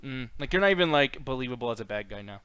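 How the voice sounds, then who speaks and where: A man's voice: medium pitch, lively intonation, fast talker, a bit bright, clean tone, no room sound. A man hosting a movie countdown in casual, slangy, rambling and profane commentary. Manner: rambling, slangy, swears freely. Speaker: host of a movie countdown show.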